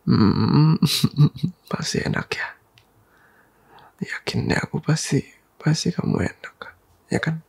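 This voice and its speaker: A man whispers close and slow in a boyfriend-style ASMR roleplay, his voice heavily breathy with a gravelly edge. He coaxes and teases gently, breaking into soft laughs, and lets his pitch rise and fall freely. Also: lively intonation. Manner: soft, intimate whispering, coaxing and teasing, frequent quiet laughs; mixes English words into casual talk.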